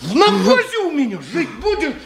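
An actor playing a bullying, volatile, menacing boss in a Russian radio play, with curt mock-affectionate questions and threats. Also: male voice, very high voice, wildly swooping pitch, fairly quick, crowd noise, full character voice. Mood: furious, ranting. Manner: curt questioning that bursts into ranting. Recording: noisy 1990 radio recording.

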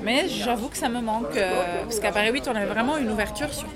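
A woman from Lebanon talks over the clatter and chatter of a busy café. She answers at an easy pace, pausing often to find her words, restarting her thoughts before she lands them. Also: fairly high pitch. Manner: thoughtful, many hesitations and restarts. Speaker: interview guest, actress and drama teacher. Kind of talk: casual interview in a café. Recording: noisy crowded café.

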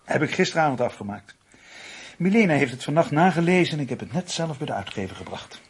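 An actor playing a brisk, matter-of-fact, sceptical husband in a Dutch radio drama. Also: male voice, a little high, very animated, breathy edge, exaggerated voice. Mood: brisk, casual. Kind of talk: Dutch radio play acting.

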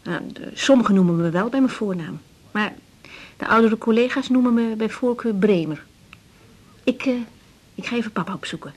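A woman's voice: a little high, normal speed, long pauses, close to an everyday voice. An actress in her twenties with a Netherlands Dutch accent, playing a busy, patient young doctor in a naturalistic radio drama. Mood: candid, affectionate.

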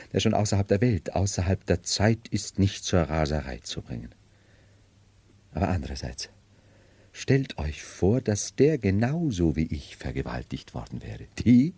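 A man's voice: low-pitched, dark tone, breathy edge, no room sound, heavily acted. An actor playing a scornful, imperious man who feigns madness, in a theatrical 1970s radio drama monologue. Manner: theatrical, mocking, brooding monologue. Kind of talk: 1970s German radio play acting.

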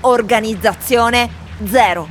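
An Italian-accented actress playing opinionated foreign tourists giving their impressions in a scripted radio theatre piece. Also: female voice, fairly high pitch, takes it slowly, slight background noise. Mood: reflective, dry. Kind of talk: scripted dramatic monologue of interview answers.

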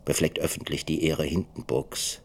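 An actor playing a defiant, bitterly ironic German professor persecuted in the 1930s, in a dramatised radio play. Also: male voice, rumbling low, flat delivery, normal speed, gravelly, exaggerated voice. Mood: indignant.

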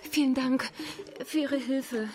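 A woman's voice: high voice, fairly even intonation, slow, a little mellow. An actress playing a polite, independent young blind woman in a radio play, speaking formally and with composure. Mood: polite, composed.

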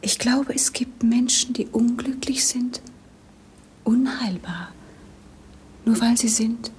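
An actress playing a fragile, dreamy young princess, speaking wistful poetry in a hushed, breathy voice in 1950s radio drama. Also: female voice, on the high side, slow pace, a little hiss or hum. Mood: sorrowful. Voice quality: breathy, hushed, near-whisper. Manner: poetic, wistful.

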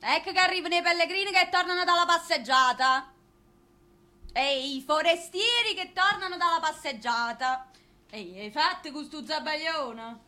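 An actress playing a brash, scheming wife with a Neapolitan accent in a comic stage farce. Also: female voice, high voice, normal speed, bright, forceful tone. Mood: bossy.

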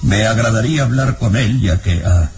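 An actor with a Mexican accent playing a fearful, secretive elderly professor in an old radio serial, halting and breathy. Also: male voice, fairly low pitch, natural voice. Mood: nervous, evasive. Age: older. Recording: old radio serial with loud background music.

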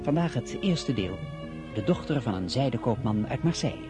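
A male announcer introduces a radio drama. His medium-pitched voice delivers scripted credits. Music plays loudly behind him. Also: animated, moderate pace.

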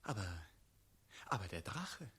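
An actor playing a courteous, earnest wandering knight in a 1960s radio play, polite and questioning. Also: male voice, lowish voice, sing-song, a little slow, sharp tone, breathy edge, exaggerated voice. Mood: puzzled, insistent.

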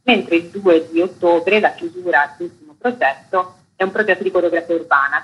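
A woman's voice: lowish voice, fairly bright tone, husky, low-quality recording. A woman being interviewed on the radio as an artistic director, explaining a programme in detail.

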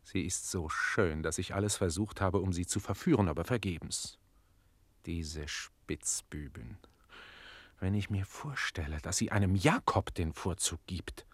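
An actor playing a haughty, easily provoked gentleman master in a German radio play, lordly and condescending. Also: low-pitched, unhurried, breathy edge, dry recording, heavily acted. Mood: wistful.

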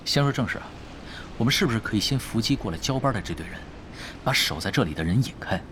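A man's voice: lowish voice, lively intonation, fast, background noise, lightly acted. An actor playing a cool, cocky young male gamer who plans with unruffled, teasing confidence in an audio drama. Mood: brisk planning. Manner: unruffled, teasing, self-assured. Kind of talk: Chinese audio drama acting.

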